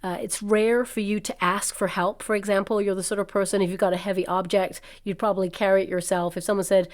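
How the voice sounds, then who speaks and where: A woman talks through a tarot reading in a loose, chatty way, reassuring her listeners and filling the gaps with ums and asides. Her voice is a little croaky from a cold that is coming on. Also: medium pitch, flat delivery, fast talker. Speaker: tarot reader. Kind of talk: casual tarot reading to camera. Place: indoors at a table covered with cards and crystals.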